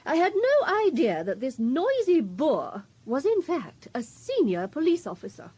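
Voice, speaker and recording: An actress playing a respectable, disapproving witness, precise, haughty and formal, in a radio drama. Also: female voice, sits high, huge pitch swings, unhurried, mellow, full character voice. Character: prim, respectable, disapproving female witness, sure of herself.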